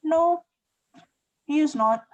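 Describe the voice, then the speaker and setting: A woman's voice: high voice, slow, highs cut off. A woman acting in a radio play as a kindly, fretful innkeeper, polite and earnest. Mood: worried, polite.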